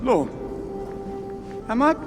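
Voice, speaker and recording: An actor playing a jovial, effusive drunkard in a video game, with slurred, tipsy exclamations. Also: male voice, very slow, long reverb tail, over music. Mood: drunken. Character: drunken, jovial old-world male drinker, effusive and slurring. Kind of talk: video game cutscene acting.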